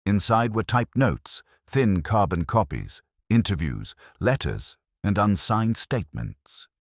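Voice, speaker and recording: A man narrating a mystery audiobook in a measured, literary storytelling manner. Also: on the low side, sing-song, no room sound, studio quality.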